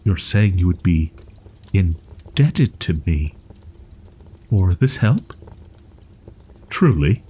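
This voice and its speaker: A man playing a proud, wounded young wolf-boy in an audio roleplay, stammering in archaic, formal phrasing with a husky, breathy voice. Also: low voice, very animated, very slow, pauses often, dark tone, exaggerated voice. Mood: timid, doubtful. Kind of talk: roleplay audio drama, ASMR.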